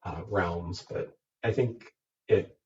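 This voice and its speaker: A man's voice: low voice, slow, distant mic. A man co-hosting a podcast, naturally nasal and more so today from a head cold, joking and teasing in casual chat. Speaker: podcast co-host. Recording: dull lo-fi sound from off mic, faint hiss.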